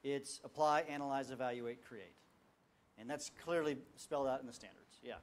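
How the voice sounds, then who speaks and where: A man on a conference panel answering audience questions, energetic and rallying, often restarting his sentences. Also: medium pitch, normal speed, a little hiss or hum. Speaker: panel speaker at education summit. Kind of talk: live Q&A answers on stage.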